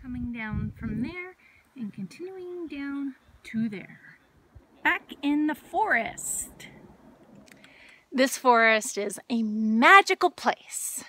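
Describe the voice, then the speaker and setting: A woman in her thirties chatting casually in short, relaxed remarks as she films a hiking vlog on a phone. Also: sits high, takes it slowly. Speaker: hiker vlogger. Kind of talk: casual trail vlog narration to camera. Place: outdoors on mountain trail, sometimes inside hut. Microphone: handheld phone at arm's length.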